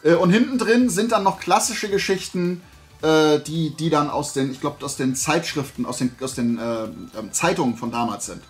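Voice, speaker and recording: A man's voice: a little high, normal speed, faint music behind. A man in his forties from Germany chatting casually to camera as a vlog host, in a colloquial, self-deprecating way. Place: small indoor room lined with collectible shelves.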